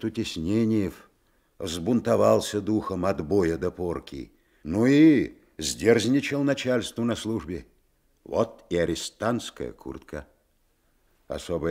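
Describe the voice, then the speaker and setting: An actor playing a kindly old sailor orderly in a Soviet radio drama, speaking in a folksy, plain way. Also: male voice, low-pitched, darkish tone, full character voice. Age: older. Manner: folksy, colloquial peasant speech.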